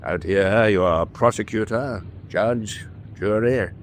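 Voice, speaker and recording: An actor playing a cool, probing submarine officer in a wartime radio drama, with a deliberate, pointed delivery. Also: male voice, lowish voice, slight background noise. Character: cool, probing senior U-boat officer, captain's frank confidant.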